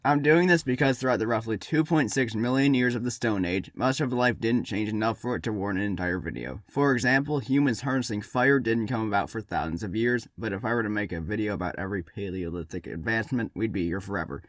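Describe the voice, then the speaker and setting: A man's voice: lowish voice, fast talker, few pauses, dry recording, clean studio sound. A man hosting a humorous history series, narrating from a script with wry, tongue-in-cheek asides. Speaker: host of a comedic history series.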